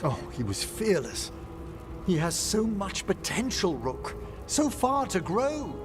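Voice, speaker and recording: Gentle gentleman necromancer, highish voice, slow and eloquent, fantasy game with music behind. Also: wildly swooping pitch, full character voice. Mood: wistful. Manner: eloquent, formal, tender. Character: gentle, refined, earnest male necromancer scholar.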